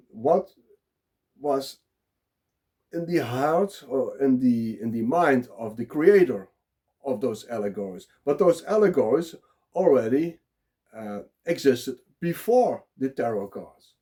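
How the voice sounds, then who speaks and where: A man with a Dutch accent, talking live and unprepared as a blogger, pausing often with filler sounds. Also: mid-range voice, animated, warm tone. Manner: halting, many filler sounds. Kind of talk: unscripted solo talk.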